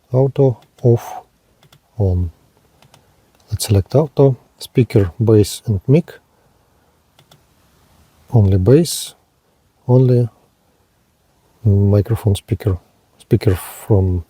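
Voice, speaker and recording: A man giving a hands-on gadget demonstration as a reviewer, speaking in short, halting, item-by-item phrases. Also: fairly low pitch, dark tone, husky, dry recording. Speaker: product reviewer, presenter.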